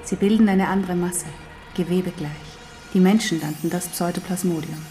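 An actress in a German radio drama playing a learned, patient non-human teacher who instructs precisely and firmly. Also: female voice, lowish voice, fairly even intonation, normal speed, warm tone, soft background music, close to an everyday voice. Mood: instructing.

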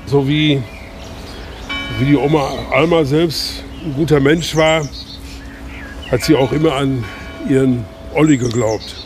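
An actor playing an earnest middle-aged village man who speaks haltingly, with many restarts, in a radio play. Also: mid-range voice, soft background music, lightly acted. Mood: solemn. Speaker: eulogy speaker.

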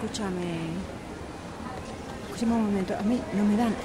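A woman's voice: mid-range voice, normal speed, heavy background noise, natural voice. A woman with an Argentine accent acting an exasperated, anxious shop owner in an amateur radio play, insistent and pleading. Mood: worried, impatient. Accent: Argentine Rioplatense.

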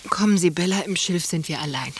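A woman's voice: lowish voice, a little fast, lightly acted. An actress playing a sultry, scheming married woman in a literary radio play, formal and languid, on a slightly hissy recording. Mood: seductive.

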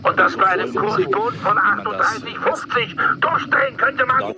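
An actor voicing a pushy male stock-market tipster on the radio in a radio drama, talking in a rapid sales pitch. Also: lively intonation, vocal fry, over a noisy crowd.

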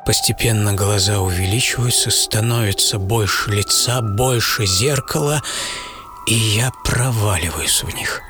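A man playing a lonely, misunderstood English teenage artist in an introspective first-person radio monologue, speaking in halting dramatic phrases. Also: fairly low pitch, lightly acted. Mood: brooding. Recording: music bed behind studio narration.

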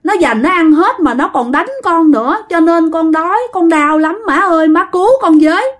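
A woman's voice: extremely high pitch, normal speed, pitched above the performer's own voice. A woman voicing the pitiful, hungry ghost of a dead son, calling plaintively to his mother in a Southern Vietnamese accent. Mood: pleading, anguished.

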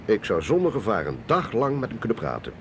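A genial captain of science in a radio play, with faint vehicle noise behind him. His voice is deep and very lively in pitch, modest and conversational. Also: brisk. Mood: candid, reassuring. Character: affable male navy or army captain, modest, candid scientist. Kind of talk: Dutch radio drama acting.

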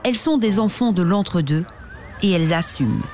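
A woman reporter reading scripted narration for a radio feature in a measured, composed way. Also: mid-range voice, lively intonation, dark tone.

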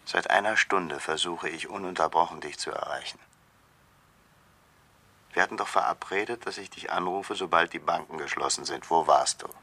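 A very deep, gravelly man in a radio play. He is a pushy, suspicious accomplice after his money, pressing with insistent questions in a forceful tone. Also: moderate pace, full character voice. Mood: impatient, suspicious. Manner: insistent, probing. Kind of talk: radio drama acting.

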